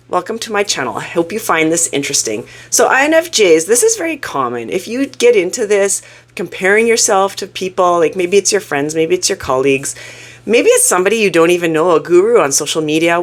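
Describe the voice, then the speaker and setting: A woman hosting her own channel, sounding croaky from a cold as she talks through a topic in a casual, earnest, self-reflective way. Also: medium pitch. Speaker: YouTube channel host. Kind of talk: casual talking-head explainer.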